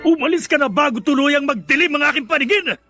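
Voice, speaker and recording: A woman's voice: high voice, fast, a bit bright, soft background music. An actress playing a furious woman at breaking point, menacing and melodramatic, in radio drama style.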